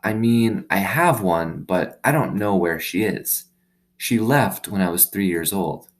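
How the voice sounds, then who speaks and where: A man's voice: low voice, lively intonation, unhurried, voice pushed up. Guy doing a lonely little girl from a storybook, earnest n confiding, voice lifted up a bit. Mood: wistful, confiding. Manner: plain-spoken, confiding, childlike phrasing. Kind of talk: storybook read-aloud.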